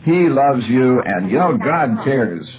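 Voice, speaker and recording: An American man hosts a call-in radio talk show with earnest, deliberate phrasing. His medium-pitched voice comes through a thin, narrow shortwave signal. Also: very animated, noisy background. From Iowa, United States. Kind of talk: religious and political call-in talk.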